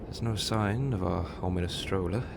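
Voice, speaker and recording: A man playing a fretful, sardonic gentleman in an audio drama, a reluctant companion who complains drily and formally. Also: deep voice, normal speed, gravelly, background noise. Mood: dry. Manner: dry, complaining, formal wording.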